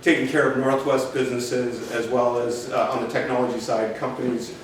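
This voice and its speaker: A man's voice: medium pitch, flat delivery, normal speed, a little hiss or hum. Middle-aged man, council candidate, plain-spoken stump speech projected to the back row, distant room sound. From Portland, Oregon. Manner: loud, plain-spoken, speaks without a mic. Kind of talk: campaign speech at a community meeting. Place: community meeting room. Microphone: far room recording. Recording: very echoey room, voice from far off.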